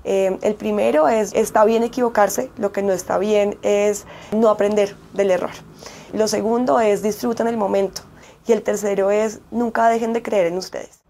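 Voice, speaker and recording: A woman's voice: mid-range voice, fairly even intonation, normal speed, dark tone, a little hiss or hum. A woman giving numbered advice as a scholarship recipient.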